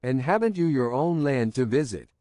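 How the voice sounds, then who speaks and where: A man's voice: medium pitch, lively intonation, moderate pace, dark tone, dry recording, natural voice. Man reading as an insistent, teasing young woman. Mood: pressing. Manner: insistent, pressing questions.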